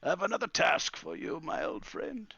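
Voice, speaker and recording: A brooding old wizard for a fantasy tabletop game. His voice is creaky and swoops high, drawing words out with theatrical menace and sorrow. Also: wildly swooping pitch, sharp tone, no room sound, dull audio, heavily acted. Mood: scheming, gentle. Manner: theatrical, drawn-out, swinging between cries and hisses.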